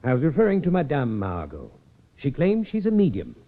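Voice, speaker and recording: A man's voice: medium pitch, wildly swooping pitch, brisk. An actor playing an anxious, melodramatic man in a 1940s radio drama, speaking in florid, ominous phrases. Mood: grave, insistent. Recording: thin, tinny 1940s radio recording, faint music.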